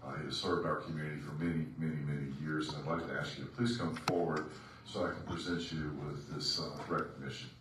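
A man's voice: rumbling low, flat delivery, quick pace, very noisy. A man presiding as mayor over a city council meeting, speaking in a genial, joking way. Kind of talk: formal meeting chair remarks. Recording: echoey chamber from far off.

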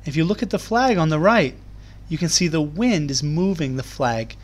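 A man reading aloud to young children as a teacher, explaining things in clear, simple terms. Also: a little high, lively intonation, moderate pace. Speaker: primary school teacher. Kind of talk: children's read-aloud lesson.

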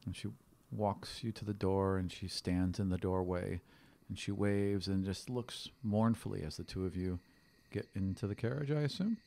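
Low-voiced man narrating as game master. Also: normal speed, vocal fry, warm tone. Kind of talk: improvised narration of a tabletop game. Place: small studio room indoors. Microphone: microphone on stand, close.

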